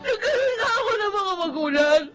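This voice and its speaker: A woman's voice: extremely high pitch, expressive, fairly quick, fairly bright tone, soft background music. An actress playing a grieving, tender orphaned young woman in a Tagalog radio drama, speaking tearfully. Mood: tearful anguish. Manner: tearful, emotional delivery.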